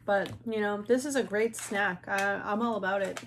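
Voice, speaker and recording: A woman's voice: medium pitch, steady tone, moderate pace, over a noisy crowd. A woman in her thirties from the United States, chatting enthusiastically as she tastes and reviews snacks with a co-host, talking between bites. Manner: chatty, enthusiastic, talks while eating. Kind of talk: casual snack tasting chat. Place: indoor room before a glitter backdrop.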